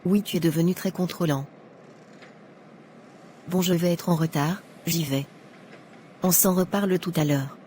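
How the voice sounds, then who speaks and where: A woman playing a gentle young fiancée. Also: on the low side, fairly even intonation, a little slow, long pauses, slight background noise, natural voice.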